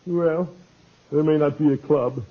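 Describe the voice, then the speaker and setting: An actor playing a pompous, indignant club president who protests and blusters in a 1940s radio comedy. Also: male voice, a little high, steady tone, a little slow, natural voice. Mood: indignant, flustered. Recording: muffled noisy 1940s radio, studio audience.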